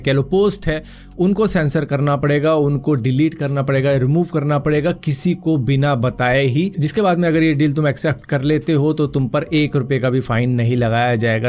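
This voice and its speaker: A man's voice: mid-range voice, darkish tone. Male news host, mid-range voice, conversational commentary, explains stories informally as if to friends. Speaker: host of a news roundup show.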